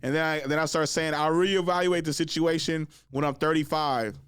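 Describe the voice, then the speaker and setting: A man's voice: a little fast, clear, resonant tone, no room sound. Joking guy in his 30s, slangy storyteller, dry close sound. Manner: animated storytelling, laughs and shrieks high when excited. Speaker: podcast host. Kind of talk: casual chat.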